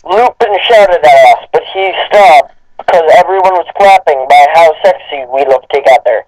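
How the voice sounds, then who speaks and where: A young man with a fairly high voice reads aloud as an amateur commentator. He stumbles over the text and slips between mock-dramatic reading and casual, swearing asides. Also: normal speed, crowd noise, rough recording.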